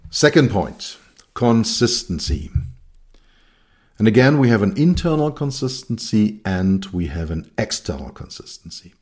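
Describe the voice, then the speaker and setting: A man's voice: on the low side, very animated, a little slow, darkish tone. A middle-aged man with a German accent, a consultant giving a thoughtful solo talk with frequent fillers and restarts. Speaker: consultant and keynote speaker.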